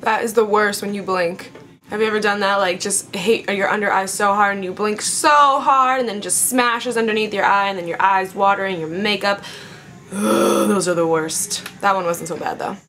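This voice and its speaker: A young woman in her twenties with a slightly raspy voice, chatting playfully through a makeup tutorial at her vanity. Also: mid-range voice, fairly even intonation, moderate pace, a bit bright, slight background noise. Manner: chatty, playful, talks while applying makeup. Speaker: beauty vlogger. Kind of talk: casual makeup tutorial chat. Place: home makeup room with vanity. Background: faint background music.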